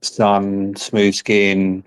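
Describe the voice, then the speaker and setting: A man's voice: on the low side, completely flat delivery, extremely slow, clear, resonant tone. A man hosting a casual interview, playful and teasing his guest. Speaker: interviewer host.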